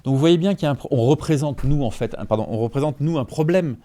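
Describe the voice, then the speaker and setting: A journalist in his fifties answers in an interview with a warm, mellow voice. He argues emphatically and often pauses to ask whether the listener is following. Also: medium pitch. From Franco-Iranian. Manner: emphatic, peppered with "en fait" and rhetorical checks. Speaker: journalist guest.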